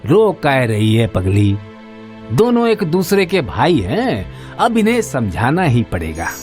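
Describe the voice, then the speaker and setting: A man playing a stern but kindly village farmer father in a cartoon moral story, with an expressive rustic drawl. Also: mid-range voice, takes it slowly, faint music behind. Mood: firm, consoling. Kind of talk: animated moral story acting.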